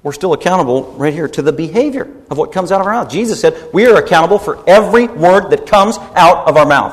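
A man's voice: a little high, in a large hall. A man preaching a sermon as pastor, emphatic and rebuking, driving points home by repeating them.

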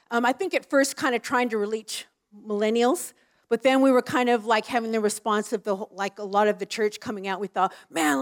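A woman leading church ministry, talking in a casual, frank way with frequent fillers. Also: medium pitch. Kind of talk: casual chat.

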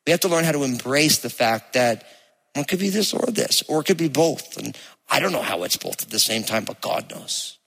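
A man with a New Jersey accent preaching a sermon to a congregation, speaking conversationally and with emphasis, slowing down to read scripture aloud. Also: mid-range voice, big pitch swings, gravelly, fairly bright tone, breathy edge. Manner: conversational, emphatic, slows to read place names. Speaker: pastor. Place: church hall with live congregation. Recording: long reverb of a church hall.

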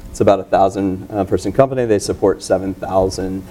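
A man moderating and introducing a guest onstage before a live audience, talking in halting, restarting sentences and laughing easily. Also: low-pitched, steady tone, dark tone, a little hiss or hum. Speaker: moderator and teacher.

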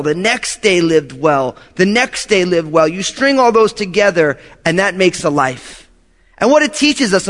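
A man's voice: high-pitched, fairly bright tone. Thirtysomething pastor guy preaching, earnest, repeats himself for emphasis, lots of hall echo. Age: 30s. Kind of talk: sermon.

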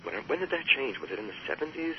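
A man who is an author and guest on an interview show, talking in a measured, earnest way and often posing rhetorical questions. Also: mid-range voice, sing-song. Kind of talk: reflective interview talk. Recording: muffled radio sound with faint hiss.

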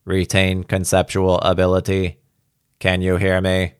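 Man voicing a toneless, halting old machine-bound professor. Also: low voice, monotone, smooth, no room sound, clean studio sound. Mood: faltering, musing. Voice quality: toneless, faint, mechanical. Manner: slow, faltering, halting fragments. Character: old professor's disembodied mind speaking through a ship, toneless, detached.

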